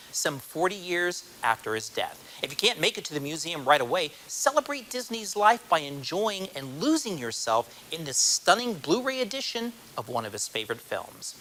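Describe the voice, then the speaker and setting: A man's voice: high-pitched, bright, forceful tone, slight background noise, rough recording. An American man presenting scripted film segments as a TV reporter, with a polished promotional delivery. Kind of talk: scripted broadcast narration. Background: music.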